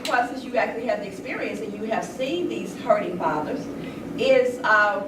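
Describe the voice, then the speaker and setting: A woman's voice: medium pitch, expressive, normal speed. A middle-aged American woman moderating an informal public panel discussion in a conversational, emphatic, questioning way. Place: large indoor event space with audience. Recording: echoey, very noisy room, distant mic.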